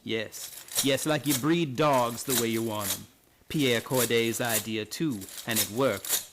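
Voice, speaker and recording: A woman's voice: a bass voice, slow pace. A woman playing a hard, cynical factory overseer in a radio play, blunt, scornful and challenging. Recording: noisy recording with clinks and clicks.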